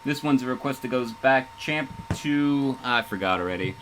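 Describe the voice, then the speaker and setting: A man's voice: medium pitch, normal speed, rough recording. A man hosting a music radio show in casual DJ patter, rambling, joking and self-deprecating. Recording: music playing faintly underneath. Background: faint music.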